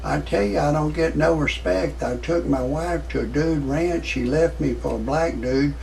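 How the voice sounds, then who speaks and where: A man in his sixties giving rambling, off-the-cuff commentary in a folksy, repetitive, halting way, as an amateur video host. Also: fairly high pitch, vocal fry, darkish tone, recorded from a distance, a little hiss or hum. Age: 60s.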